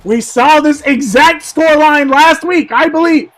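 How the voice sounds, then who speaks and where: A man doing play-by-play football commentary, going hoarse, who swings from quiet asides to shouted excitement. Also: very high voice, measured pace, faint music behind.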